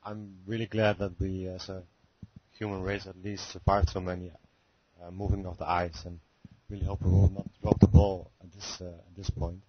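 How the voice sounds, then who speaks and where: A male caller on a conference call, hesitantly working out a question with many pauses and rephrasings. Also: sits low, flat delivery, a little hiss or hum. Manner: hesitant, searching for words, many fillers. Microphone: phone line. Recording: muffled phone-call audio.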